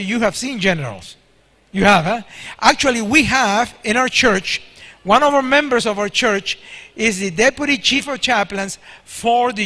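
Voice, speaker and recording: High bright-voiced older preacher in reverberant hall. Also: male voice. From Spanish-speaking country. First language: Spanish. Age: 60s. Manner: storytelling, asks the congregation questions. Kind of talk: sermon. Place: large church hall.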